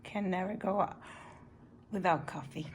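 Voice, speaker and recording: A young woman from Puerto Rico with a Spanish accent, chatting casually at home in a weekend vlog, relaxed and rambling with fillers. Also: fairly low pitch, a little slow, echoey room, a little hiss or hum. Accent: Puerto Rican Spanish. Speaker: vlogger.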